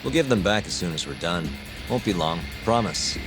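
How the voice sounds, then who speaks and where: Deep-voiced, wry detective hero. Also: male voice, lively intonation, music in the background, low-quality recording. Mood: cool, wry. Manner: dry, measured, wry. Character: cool, wry lawyer-detective hero, calm and principled. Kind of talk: video game cutscene acting.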